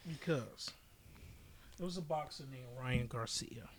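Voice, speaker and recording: A man's voice: mid-range voice, measured pace, a little hiss or hum. A man hosting a podcast in casual, opinionated chat with a blunt way of talking. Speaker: podcast host.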